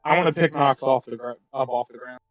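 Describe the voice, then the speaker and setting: A man's voice: mid-range voice, fast, dry recording, faint music behind, rough recording. A man roleplaying his character in a tabletop game, casual and joking. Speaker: player.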